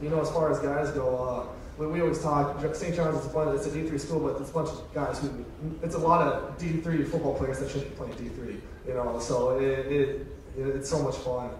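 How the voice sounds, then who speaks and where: A man's voice: medium pitch, steady tone, fairly quick, darkish tone. Guy in his 20s giving casual loose interview answers on a stage, really echoey, a bit far from mic. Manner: informal, loose, slangy phrasing. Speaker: college football player being interviewed. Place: indoor stage in an auditorium. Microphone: handheld microphone. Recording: echoey hall PA, distant, noisy.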